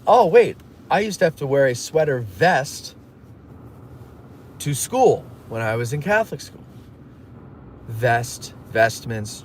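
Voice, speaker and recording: A man's voice: mid-range voice, slight background noise. A man hosting a casual live stream, rambling through stories and jokey asides while reading viewer messages. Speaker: livestream host. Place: in a moving car.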